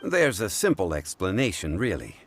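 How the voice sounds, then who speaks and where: Deep-voiced, composed gentleman. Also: wildly swooping pitch, soft background music, heavily acted. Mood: calm, courteous. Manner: measured, formal, unruffled. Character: calm, courteous, learned gentleman, quietly self-assured. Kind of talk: video game dialogue.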